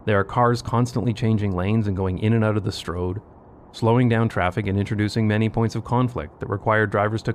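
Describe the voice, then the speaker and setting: A man's voice: lowish voice, darkish tone, a little hiss or hum. A man narrating a video from a script, with a wry and pointed delivery.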